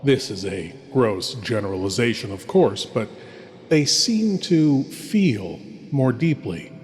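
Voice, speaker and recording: A man hosting a horror radio show, narrating a scripted introduction in a slow, hushed and theatrical way. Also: medium pitch. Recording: long reverb, as in a hall.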